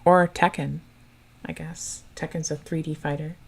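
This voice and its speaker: Low-voiced woman with a soothing, chatty manner, co-hosting a casual game stream. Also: level tone, unhurried, gravelly, breathy edge. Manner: soft, chatty, laughs often. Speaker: stream commentator.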